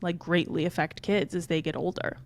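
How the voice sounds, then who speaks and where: A young woman in her twenties chats on a podcast in an easygoing, reflective way. Her voice sits a little low. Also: flat delivery, brisk. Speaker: podcast co-host. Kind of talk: casual chat.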